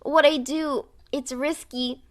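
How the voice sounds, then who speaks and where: Woman doing a girly villain girlfriend for a fanfic, high voice, teasing, unhurried and lovey. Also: fairly even intonation, dry recording, clean studio sound. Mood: worried, soft. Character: affectionate young woman villain, playful and teasing. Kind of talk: fan fiction character voice.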